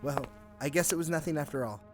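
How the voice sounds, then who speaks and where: A man acting as a friendly police officer in an audio drama. His voice is on the high side for a man, polite and deferential, with music underneath. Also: fast talker, lightly acted. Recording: music behind the voice.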